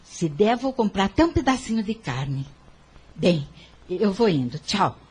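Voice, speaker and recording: A woman's voice: medium pitch, big pitch swings, measured pace, a little mellow, breathy edge, exaggerated voice. A woman playing a poor, hardworking and devout mother in an audio drama. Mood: tender, resigned.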